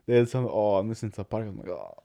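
A young man co-hosting a podcast, telling stories in casual chat with an animated, laughing manner. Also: lowish voice, rapid-fire, gravelly. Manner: animated storyteller, laughs often. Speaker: podcast co-host.

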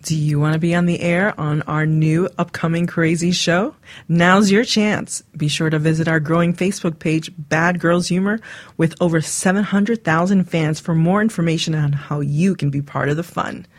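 A woman's voice: low voice, fairly even intonation, moderate pace. A woman radio host reading a scripted promo for a show. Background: music bed.

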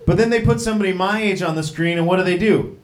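A man's voice: high voice, fast, roomy sound. A man in his thirties doing a stand-up comedy set, rambling and profane, poking fun at himself. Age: 30s. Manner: profane, rambling, self-deprecating. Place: comedy club stage.

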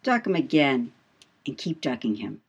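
A woman's voice: a bass voice, sing-song, moderate pace, breathy edge, full character voice. A woman playing a brash, boastful male sailor in an audiobook, with salty, swaggering speech and emphatic questions. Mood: defiant, boastful. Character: brash, boastful male whaling mate telling devil yarns. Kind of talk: dramatised audiobook dialogue.

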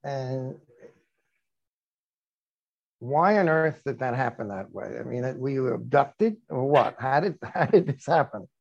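An older man hosts an interview and asks his questions at a slow, easy pace. He teases his guest with small jokes. His voice sits at a medium pitch and comes through dull and lo-fi. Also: lively intonation, vocal fry, darkish tone. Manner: joking, unhurried questioning. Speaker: podcast host, interviewer.

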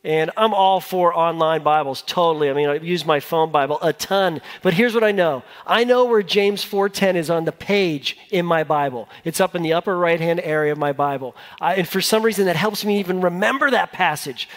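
A man's voice: a little high, a little fast, hardly any pauses. A man preaching as a pastor in an informal, conversational style, repeating phrases for emphasis and putting questions to his listeners. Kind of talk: conversational sermon. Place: large church hall with a congregation. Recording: long hall reverb.

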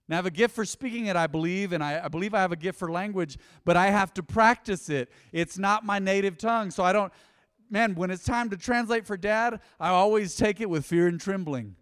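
A man preaching a sermon as pastor, animated and conversational, with frequent asides to the congregation. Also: sits high, a little fast, smooth, in a large hall. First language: English. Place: church.

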